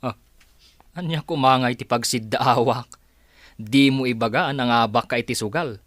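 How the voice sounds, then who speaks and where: A man's voice: mid-range voice, normal speed, a bit bright, no room sound, clean studio sound, close to an everyday voice. A man playing a defensive, grumbling hard drinker in an audio drama. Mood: accusing.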